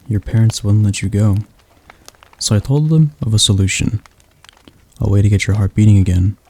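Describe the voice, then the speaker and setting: A gentle male demon tutor, voiced by a man in an ASMR roleplay, his tone dark and mellow. He talks softly and slowly, reassuring throughout, with a slight stutter. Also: lowish voice. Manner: soft, slow, soothing delivery.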